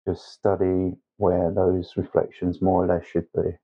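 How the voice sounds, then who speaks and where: Male painting instructor, lowish pitch, slow hesitant step-by-step delivery, slightly muffled recording. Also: completely flat delivery, slightly rough. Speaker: watercolour tutor. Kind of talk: instructional painting tutorial narration.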